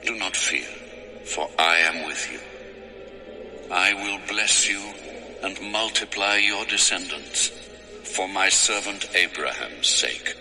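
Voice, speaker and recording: A cavernous reverb carries the deep voice of God, over clear music. He is solemn and commanding, an ageless deity of audio scripture drama who speaks very slowly and with deliberate authority. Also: animated, bright, forceful tone, heavily acted. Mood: solemn, reassuring.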